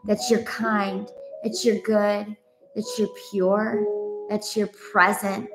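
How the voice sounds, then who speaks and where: A woman's voice: mid-range voice, little pitch movement, takes it slowly, vocal fry, rough recording. Earnest woman praying over music. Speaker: co-leader praying. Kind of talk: extemporaneous prayer.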